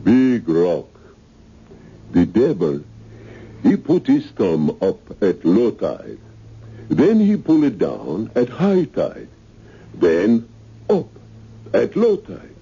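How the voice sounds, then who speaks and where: An actor playing a big, genial but sinister island castaway with a Caribbean accent and simple dialect phrasing in a 1970s radio drama. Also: male voice, on the low side, wildly swooping pitch, takes it slowly, creaky, long pauses, dark tone, breathy edge, heavily acted. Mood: slow storytelling. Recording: muffled old radio broadcast.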